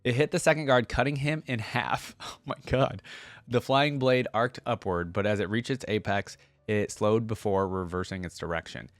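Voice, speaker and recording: Male host, lowish voice, dry close sound; relaxed colloquial delivery for lore reading and casual commentary. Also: moderate pace, dry recording. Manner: laid-back, colloquial fan talk. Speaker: reaction video host reading lore aloud.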